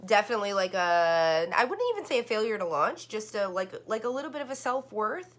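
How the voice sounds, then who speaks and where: A woman's voice: medium pitch, sing-song, brisk. A woman giving a casual online tarot reading, rambling and full of "like" fillers and restarts. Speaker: tarot card reader.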